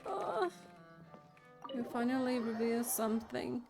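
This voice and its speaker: A woman's voice: on the high side, expressive, measured pace, creaky, a little mellow, soft background music. A woman giving casual reaction commentary as she plays through a game story. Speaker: gaming reaction video creator.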